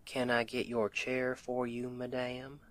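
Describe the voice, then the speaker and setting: A man's voice: medium pitch, completely flat delivery, a little hiss or hum, lightly acted. A man playing a doting country husband with a Southern accent in an intimate ASMR roleplay, folksy and affectionate. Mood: warm, contented. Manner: affectionate, unhurried, folksy, gently teasing.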